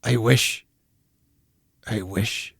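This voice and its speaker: A man voicing an excitable old man from a classic storybook, full of childlike feeling and exclamation. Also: mid-range voice, moderate pace, no echo, studio quality, natural voice. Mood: tearful regret. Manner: exclamatory, emotional, between laughing and crying.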